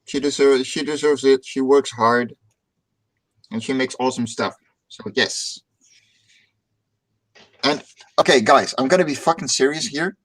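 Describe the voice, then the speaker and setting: A man's voice: medium pitch, a little slow, pauses often, a bit bright. A Dutch man hosting a casual livestream chat, upbeat and joking, often repeating words as he starts a sentence. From Netherlands. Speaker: stream host.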